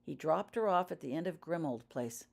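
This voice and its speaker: A woman's voice: sits low, lively intonation, moderate pace, vocal fry, a little mellow, heavily acted. A woman voicing a hesitant, whispery informant giving clipped, formal reports by phone in an audiobook story. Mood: businesslike.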